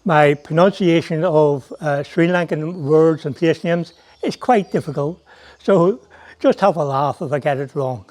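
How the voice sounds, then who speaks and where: A man with an Irish accent, narrating a documentary and speaking in a measured, unhurried way with occasional fillers. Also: a little high, a little mellow. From United Kingdom. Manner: slow, measured delivery with hesitant fillers when speaking freely. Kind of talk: scripted narration and casual spoken message.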